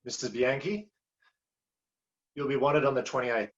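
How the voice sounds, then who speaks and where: An actor playing a dry police officer. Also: male voice, mid-range voice, measured pace, a bit bright, close to an everyday voice. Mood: official. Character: patient, dry-witted police officer man. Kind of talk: broadcast drama acting. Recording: dull lo-fi recording.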